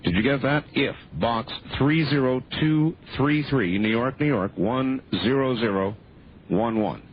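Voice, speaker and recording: A man hosting a late-night call-in radio show, keeping things brisk and moving callers along against the clock. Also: medium pitch, lively intonation. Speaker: radio talk show host. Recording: muffled AM radio broadcast with faint hiss.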